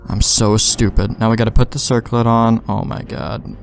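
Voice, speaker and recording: A man's voice: on the low side, flat delivery, moderate pace, gravelly. A man giving casual, rambling gameplay commentary with plenty of slang and swearing. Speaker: let's play gamer. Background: game music.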